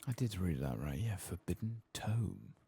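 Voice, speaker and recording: Male ASMR game streamer, extremely low gravelly register, husky, murmured play-by-play to himself. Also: expressive, moderate pace, mellow. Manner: low-key, murmuring to himself while playing. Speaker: gaming streamer. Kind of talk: soft-spoken ASMR game playthrough commentary. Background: keyboard typing and mouse clicks.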